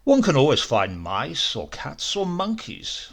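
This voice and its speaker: A man acts out a curious, excitable male doctor in a horror tale. His delivery is inquisitive and musing, with a lively, swooping pitch and a husky edge. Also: unhurried, exaggerated voice. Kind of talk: dramatised horror audiobook.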